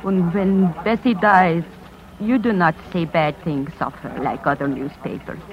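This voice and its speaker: A woman's voice: on the low side, lightly acted. Woman acting a humble older immigrant mother, dull recording. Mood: proud, resolute. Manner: halting, plain, broken English. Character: humble, brave older immigrant mother, grieving but resolute. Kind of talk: 1950s radio drama acting. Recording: dull, faintly noisy vintage radio recording.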